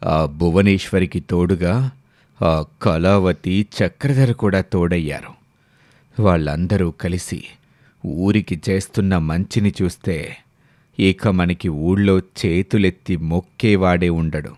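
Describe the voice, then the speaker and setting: A man voicing a resentful, brooding village schemer with a slow delivery in a moral story. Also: sits low, a little mellow, dry recording, voice pushed down. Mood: bitter. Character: resentful village schemer, bitterly waiting for his chance.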